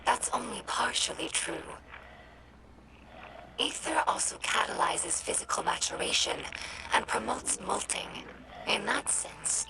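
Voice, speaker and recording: A woman voicing an earnest young female alien scribe in a video game audio log, explaining in a measured, formal and thoughtful way with a breathy, creaky voice. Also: medium pitch, heavy background noise. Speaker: scribe recording audio logs for humans.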